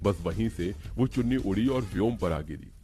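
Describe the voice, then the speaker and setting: A man's voice: on the low side, lively intonation, moderate pace, mellow, faint music behind. A man narrating a scripted horror story in a dramatic storytelling style.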